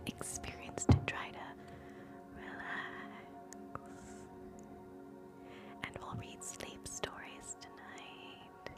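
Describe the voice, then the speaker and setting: A woman hosting live ASMR in a soft, breathy whisper, gentle and soothing, moving between guided relaxation and quiet chat. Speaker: ASMR streamer, host.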